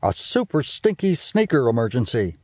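A man's voice: mid-range voice, very animated, moderate pace, a little mellow, no room sound, studio quality. A man voicing a stubborn, indignant little boy with a raised, boyish voice and an earnest manner.

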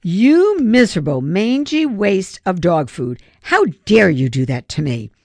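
A woman's voice: medium pitch, very animated, measured pace, a little mellow, no room sound, pitched above the performer's own voice. A woman voicing an indignant schoolgirl animal lover who scolds emphatically in an audiobook story. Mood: furious, outraged.

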